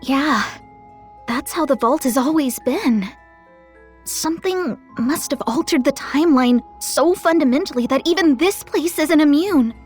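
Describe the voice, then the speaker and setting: A woman's voice: sits high, lively intonation, measured pace, a little mellow, soft background music. A woman playing a worried, earnest female deity who guards time in a video game. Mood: earnest concern. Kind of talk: animated game cutscene acting.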